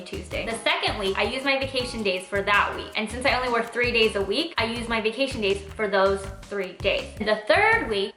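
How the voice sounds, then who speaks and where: A woman's voice: medium pitch, pushed and bright. A Californian woman in her twenties chatting casually in a Q&A vlog, informal and emphatic. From Orange County, California. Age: 20s. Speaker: travel vlogger and nurse. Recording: echoey room with faint music.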